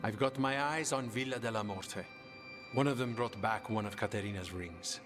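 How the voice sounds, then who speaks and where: An actor playing a cautious spymaster in a video game, guarded and matter-of-fact. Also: male voice, lowish voice, normal speed, lightly acted. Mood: cautious, measured. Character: cautious, measured male assassin spymaster. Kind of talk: video game character dialogue. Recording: music behind.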